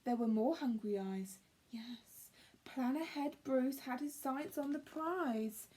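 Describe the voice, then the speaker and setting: A woman reading a rhyming picture book aloud to young children, with dramatic expression and hushed word endings. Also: sits high, slow pace, husky. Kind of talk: expressive rhyming story narration.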